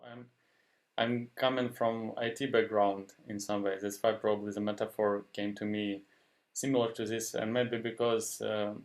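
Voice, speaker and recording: A man with a Ukrainian accent, a Ukrainian native speaker, talking in a halting way and often stopping to search for words. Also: fairly low pitch, level tone. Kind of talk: casual discussion.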